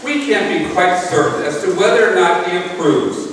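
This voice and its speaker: A man's voice: high voice, moderate pace, a little hiss or hum. A man playing a folksy, theatrical announcer in a 1950s radio comedy. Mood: folksy, upbeat. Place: live stage in a large echoey hall. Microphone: far from the mic. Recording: echoey hall from far off, loud music.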